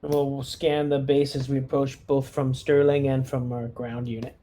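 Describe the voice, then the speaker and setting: Mellow-voiced man playing a tabletop game. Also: mid-range voice, level tone, moderate pace. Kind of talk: casual tabletop game chat.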